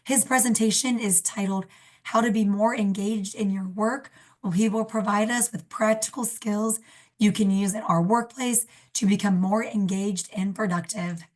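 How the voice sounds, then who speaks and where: A young woman with a cold and a slightly raspy voice, hosting a webinar and reading announcements on a video call. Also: medium pitch, fairly even intonation, moderate pace, a little mellow. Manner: friendly, scripted announcements. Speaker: program coordinator, webinar host. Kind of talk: webinar introduction. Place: indoor room, video call.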